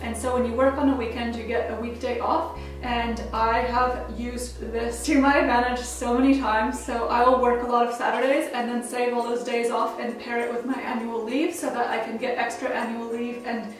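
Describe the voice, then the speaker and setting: A woman's voice: a little high, level tone, moderate pace, hardly any pauses, heavy reverb, distant mic, music in the background, rough recording. A young American woman in her twenties, chatting upbeat to camera as a travel vlogger, with plenty of casual fillers. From United States. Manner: chatty and upbeat, frequent "like" fillers, laughs easily. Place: small indoor room at home.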